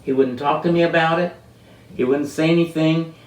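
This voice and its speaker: An elderly man in his eighties from the United States telling personal stories in an unscripted, halting, deliberate way, with long pauses and drawn-out words. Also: fairly high pitch, steady tone. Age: 80s. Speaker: interviewee telling life stories. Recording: roomy, distant microphone.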